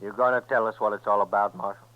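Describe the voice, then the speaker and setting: A man's voice: lowish voice, fairly even intonation, quick pace, close to an everyday voice. Surly western hard case played by a man. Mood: confrontational. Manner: curt, challenging. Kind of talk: 1950s radio western acting. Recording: muffled old radio recording.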